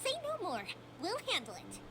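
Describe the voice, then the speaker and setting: An actress playing an upbeat, caring young fireworks maker in a video game, with a breezy, reassuring way of talking. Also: female voice, very high voice, huge pitch swings, normal speed, heavy background noise, full character voice. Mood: breezy, helpful. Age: young adult.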